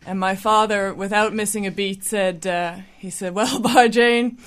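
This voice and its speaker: A woman guest on a radio show talks about the event she organizes. She is friendly and promotional, with easy laughter, and her pitch sits in the middle of the range. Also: fairly even intonation, measured pace, clean tone. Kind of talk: casual radio chat.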